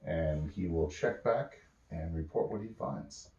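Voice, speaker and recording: A man improvising a bedtime story in a casual, halting way, pausing mid-sentence as he goes. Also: low voice, expressive, vocal fry, mellow, muffled recording. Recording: roomy, echoey home recording.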